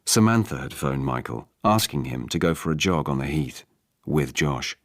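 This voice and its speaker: A man reading a novel as a scripted radio narration, in a measured, restrained dramatic way. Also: very deep voice, lively intonation, no room sound, studio quality.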